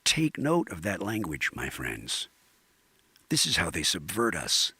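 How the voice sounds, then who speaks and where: Pompous, swooping old hippie orator. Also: male voice, low voice, huge pitch swings, a little slow, pushed and bright, husky, heavily acted. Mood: pompous, earnest. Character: grandiose old hippie conspiracy-theorist YouTube host. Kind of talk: audio drama monologue as online broadcast.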